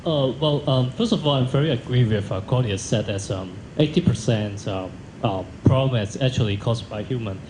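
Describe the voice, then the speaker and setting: Echoey Hong Kong man, halting panelist. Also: mid-range voice. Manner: halting, repeats words, non-native phrasing. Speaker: panelist from a cloud service provider. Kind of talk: panel discussion remarks. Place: multi-purpose function room at a conference. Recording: echoey function room, faint background noise.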